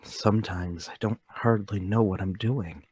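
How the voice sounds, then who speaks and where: Man playing a slow, husky, troubled older gentleman. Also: on the low side, no room sound. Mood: troubled, confused. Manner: halting, polite, deliberate. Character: troubled, forgetful, soft-spoken older gentleman, haunted and deliberate. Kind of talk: radio mystery drama table read.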